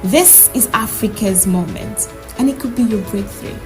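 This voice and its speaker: A woman's voice: mid-range voice, normal speed. A woman reading a scripted promotional voice-over in a punchy, persuasive manner. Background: music.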